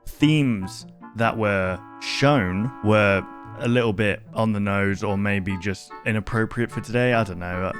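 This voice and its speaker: A man's voice: on the low side, unhurried, soft background music. A man hosting a reaction video, commenting casually and musing aloud in a rambling way.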